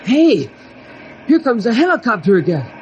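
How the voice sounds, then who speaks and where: An actor playing a dogged, excitable young man stranded in the cold in an old-time radio adventure. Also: very high voice, moderate pace, muffled recording. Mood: excited. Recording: noisy old radio recording.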